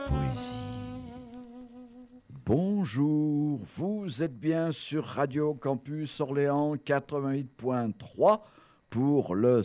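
Slow, animated male radio host. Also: mid-range voice. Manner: expressive, lingering over words. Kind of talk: casual chat, then scripted radio chronicle. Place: radio studio.

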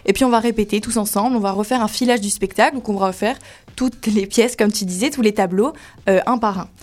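A woman's voice: mid-range voice, quick pace. A woman who works as a cabaret dancer, chatty and explaining her daily routine in detail. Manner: lively, talkative explainer. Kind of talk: explaining her work in an interview.

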